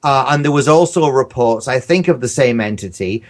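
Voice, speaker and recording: A man's voice: medium pitch, lively intonation, moderate pace. A man chatting casually as a podcast guest, telling stories in a rambling, hesitant way. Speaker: podcast guest, audio drama creator.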